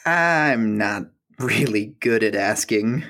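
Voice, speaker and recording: A man acting in an audio play as an awkward, hesitant mapmaker who speaks in a halting, stammering way. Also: lowish voice, expressive, vocal fry, fairly bright tone, heavily acted. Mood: gentle, tentative. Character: awkward, hesitant male mapmaker leaving his job.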